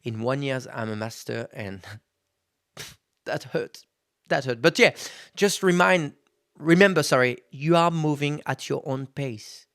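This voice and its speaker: A man in his thirties at an ordinary mid pitch, hosting his own podcast in a loose, unscripted monologue. He talks frankly, swears without restraint and keeps turning to his listeners as guys. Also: measured pace, a bit bright. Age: 30s. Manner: candid, swears freely, addresses listeners as guys. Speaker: podcast host. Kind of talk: casual motivational monologue.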